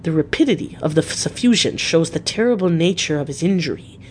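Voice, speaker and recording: A narrator voicing a grave, learned older male professor of medicine, speaking formally and with quiet care, as in an audiobook. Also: medium pitch, animated, brisk, slight background noise, natural voice. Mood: grave, urgent.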